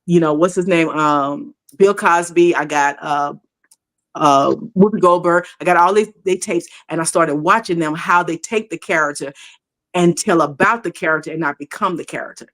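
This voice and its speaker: Lively woman in her sixties telling stories. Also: lowish voice, normal speed. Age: 60s. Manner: animated, laughs often, acts out quoted voices. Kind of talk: casual storytelling chat.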